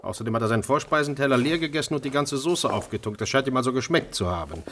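An actor playing a proud, particular and blunt restaurant chef in a radio drama. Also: male voice, medium pitch, lively intonation, quick pace, fairly bright tone, slight background noise, lightly acted. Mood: indignant, guarded.

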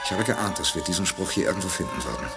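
A man's voice: sits low, animated, fast talker, long reverb tail, over loud music. An actor playing a decisive, commanding male space expedition leader in a 1980s radio play. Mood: thoughtful. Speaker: leader Perry Rhodan.

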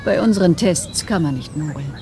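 An actress playing a self-assured, probing neurologist in a radio drama, articulate and wry. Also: female voice, sits low, expressive, fairly quick, a crowd in the background. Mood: explanatory, earnest. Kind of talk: 1990s German radio drama acting.

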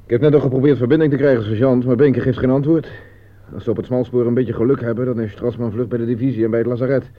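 Radio play role: male military telephonist, played by an actor, clipped and impatient, very quick, tinny recording. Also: mid-range voice, steady tone, close to an everyday voice. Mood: brisk. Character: brisk, impatient male army switchboard operator. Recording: tinny band-limited old radio play.

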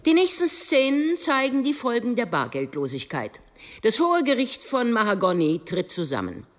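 A woman reading a scripted synopsis as a radio narrator, with dramatic, emphatic and deliberate phrasing. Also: a little high, huge pitch swings.

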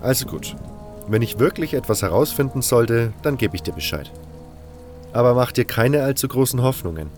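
A man's voice: medium pitch, animated, moderate pace, darkish tone, soft background music, close to an everyday voice. A man playing a curt, skeptical convict adventurer with a blunt, dry, impatient manner in a fantasy audio drama. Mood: reluctant.

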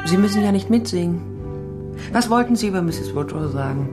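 A woman's voice: fairly low pitch, lively intonation, a little fast, loud music behind the voice, lightly acted. A woman playing a tall, sardonic private detective in a crime radio drama, speaking and narrating in a dry, self-possessed way. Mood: dry, wary. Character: tall sardonic female private detective, former police officer. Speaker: lead actor and first-person narrator.

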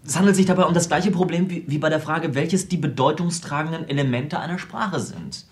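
An actor playing an eccentric, self-absorbed programmer in a radio play, explaining things at length with small hesitations. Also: male voice, fairly high pitch, lightly acted. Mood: intent, lecturing. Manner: explanatory, lecturing, halting in places. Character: eccentric, self-absorbed American computer programmer, brilliant tinkerer. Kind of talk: naturalistic radio drama dialogue.

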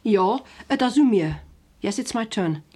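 A woman presents a scripted language lesson with a husky, breathy voice at medium pitch. She is didactic and takes her time, laying out each example carefully word by word. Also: expressive, takes it slowly, darkish tone. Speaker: language teacher.

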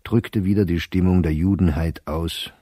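An actor playing a weary middle-aged professor reading his private diary in a radio play, measured and reflective. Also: sits low, steady tone, no room sound, clean studio sound. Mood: sober. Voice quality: dark, husky.

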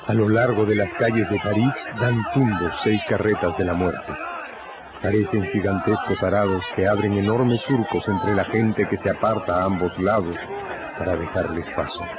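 A man narrating a radio drama in a measured, solemn, dramatic scripted style. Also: on the low side, fairly even intonation, heavy background noise. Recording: dull recording, loud music and crowd behind.

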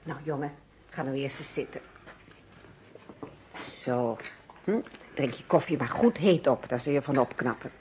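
A woman's voice: extremely low pitch, unhurried, long pauses, mellow. An actress playing a hospitable, solicitous wife in a Dutch radio play, chatting politely and fussing over her husband. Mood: hospitable, concerned. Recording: noisy old radio recording.

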